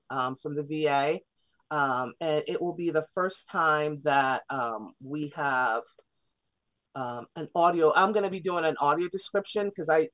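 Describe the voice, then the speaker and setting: Chatty woman, radio interview guest. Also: sits low. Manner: chatty, with many fillers and restarts. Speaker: theater artist and guest. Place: radio studio.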